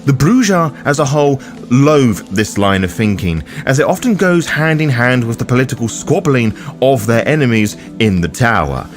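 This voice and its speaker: Man, scripted lore narration, medium pitch, very animated intonation, emphatic, music behind. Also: moderate pace. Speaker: host of a tabletop roleplaying lore guide. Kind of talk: scripted narration, video essay.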